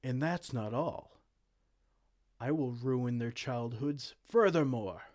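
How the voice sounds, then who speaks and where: A man playing a vain, silly, soft-hearted evil king in a comedic fantasy roleplay, pompous and theatrical but slipping into casual dorkiness. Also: medium pitch, takes it slowly, close to an everyday voice. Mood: gleeful scheming. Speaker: audio roleplay performer.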